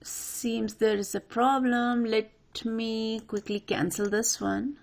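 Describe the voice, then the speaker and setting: Woman giving a software walkthrough. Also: on the high side, little pitch movement, slow, gravelly. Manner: instructional, addresses viewer directly. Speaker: software tutorial presenter. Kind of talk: step-by-step screen walkthrough, unscripted.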